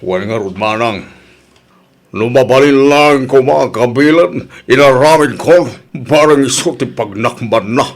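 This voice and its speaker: A man's voice: medium pitch, a little slow, lightly acted. A man acting in an Ilocano audio drama as a stern, middle-aged father who scolds and lectures his daughter emphatically. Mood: worried, complaining.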